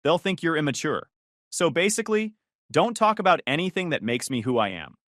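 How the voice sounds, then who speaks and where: A man's voice: a little high, big pitch swings, brisk, a bit bright, no room sound, clean studio sound, pitched above the performer's own voice. A man voicing a smug, manipulative young girlfriend who talks in a coaxing, condescending way, in a storybook reading.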